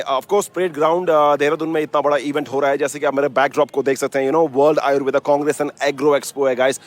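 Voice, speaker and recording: A man hosting live event coverage for radio, mixing in English, with hesitant, effusive presenter patter. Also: medium pitch. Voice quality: mixes English phrases into Hindi. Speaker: radio station host and interviewer at an expo. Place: busy expo grounds.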